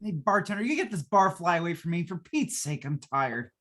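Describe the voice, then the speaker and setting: Woman acting weary repair chief, raspy, lively pitch, exasperated, old-time radio drama. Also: a little fast. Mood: weary, irritable.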